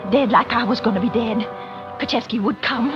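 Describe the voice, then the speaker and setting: An actress in an old radio suspense drama playing a frantic, guilty wife, breathless and stammering in a melodramatic style. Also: female voice, on the high side, fairly quick, natural voice. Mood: tense, haunted narration. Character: frantic, guilty wife pleading for her life. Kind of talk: 1940s radio suspense acting. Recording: dull old radio recording, loud music behind.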